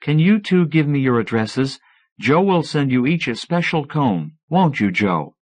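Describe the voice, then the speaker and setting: A man's voice: mid-range voice, sing-song, measured pace, pitched above the performer's own voice. A man voicing a chiding, flirtatious, airy woman in audiobook dialogue. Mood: coaxing.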